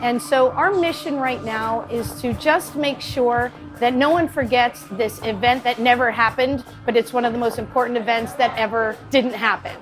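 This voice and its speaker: A woman's voice: a little high, moderate pace, few pauses, music in the background. A woman who runs a coffee shop, telling its origin story enthusiastically in an interview.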